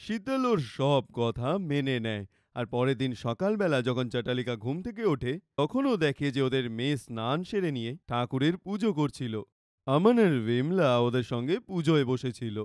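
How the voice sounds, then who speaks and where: A man's voice: medium pitch, sing-song, normal speed, darkish tone, no room sound, clean studio sound. A man narrating a scripted cartoon fairy tale as the storyteller.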